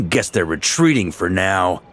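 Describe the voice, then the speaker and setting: A man playing a seasoned, easygoing knight commander in a video game, speaking with measured self-assurance. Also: low-pitched, big pitch swings, background noise. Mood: composed, assured.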